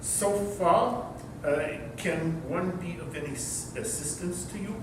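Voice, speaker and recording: An actor playing a pompous, stingy rich uncle in a readers theater comedy, speaking formally and hesitantly. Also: male voice, unhurried, lightly acted. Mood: pompous politeness. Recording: echoey hall, distant mic, rumbling noise.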